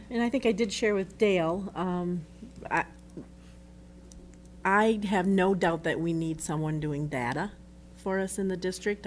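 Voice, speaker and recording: A middle-aged woman at a school board meeting, asking questions in a halting, restarting way into a desk microphone. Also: fairly low pitch, a little hiss or hum. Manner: halting, restarts and trails off between thoughts.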